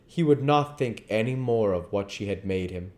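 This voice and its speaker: A man narrating a literary novel as an audiobook reader, deliberate in delivery and now and then stumbling over the text. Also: fairly low pitch, lively intonation, darkish tone. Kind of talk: literary narration read aloud.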